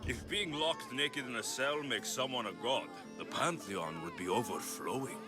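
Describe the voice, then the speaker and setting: An actor playing a sceptical mercenary hero of ancient Greece in a video game, talking in a dry, sardonic manner. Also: male voice, medium pitch, moderate pace, close to an everyday voice. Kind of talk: video game cutscene acting. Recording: music playing behind the voice.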